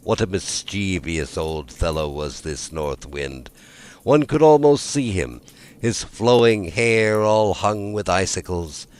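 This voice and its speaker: A male storyteller with a low voice reads a fairy tale. He takes his time and swings widely in pitch, placing dramatic emphasis on key words. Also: lively intonation, slow pace, darkish tone. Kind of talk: scripted fairy tale narration.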